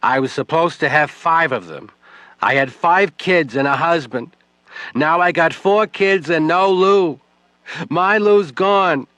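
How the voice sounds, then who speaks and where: A man's voice: fairly high pitch, slow, fairly bright tone, dry recording, pitched above the performer's own voice. A man reading an audiobook, voicing a drunk, grief-stricken young widow who slurs and shrieks her accusations. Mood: anguished. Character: drunk grieving young widow, furious, slurring.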